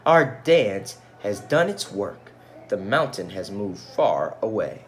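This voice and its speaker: A man reads an earnest village husband from a storybook. He exclaims with widely swinging pitch. A faint noise runs behind the voice. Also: sing-song, takes it slowly. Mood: delighted. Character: earnest, frustrated married villager eager to succeed.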